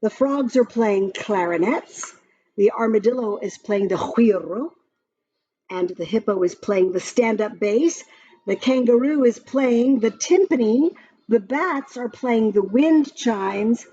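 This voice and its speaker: A woman reading a children's picture book aloud in a gentle, expressive storytime voice. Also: fairly high pitch, unhurried, husky, dull audio.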